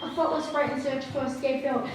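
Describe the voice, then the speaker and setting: A woman's voice: high-pitched, steady tone, fast, lightly acted. A woman reading scripted narration for a radio play performed on stage. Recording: very echoey hall, distant mic, noisy.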